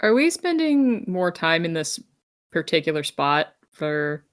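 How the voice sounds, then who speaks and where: A woman's voice: low-pitched, sing-song, measured pace, dry recording, clean studio sound. A woman talking casually as a player in a tabletop roleplaying game. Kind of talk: casual chat.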